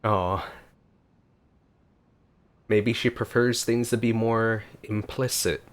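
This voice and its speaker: A man giving casual gameplay commentary, musing aloud in halting phrases as he plays. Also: fairly low pitch, steady tone. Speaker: let's play streamer. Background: game music.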